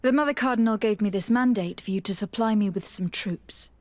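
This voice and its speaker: A woman's voice: medium pitch, fairly quick, lightly acted. A woman playing an assertive, composed noblewoman diplomat in a video game, speaking formally and directly. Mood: formal, resolute. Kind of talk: video game dialogue acting. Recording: music behind the voice.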